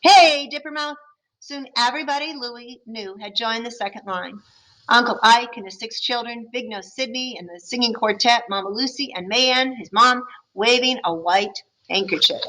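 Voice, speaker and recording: A woman teacher reading a picture book aloud, expressive, with chatty asides to her listeners. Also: on the high side, normal speed, poor audio. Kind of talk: storybook read-aloud with comments.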